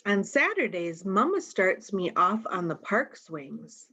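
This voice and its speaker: A woman's voice: mid-range voice, very animated, slow, sharp tone, breathy edge. A woman reading picture books aloud to young children, talking to them directly and asking simple questions. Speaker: storytime reader.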